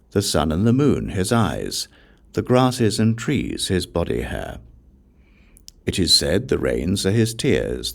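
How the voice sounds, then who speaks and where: Male scripture narrator, gravelly, lively intonation, measured phrasing, deliberate pauses. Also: sits low. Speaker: audiobook narrator. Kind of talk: scripted reading of Buddhist scripture.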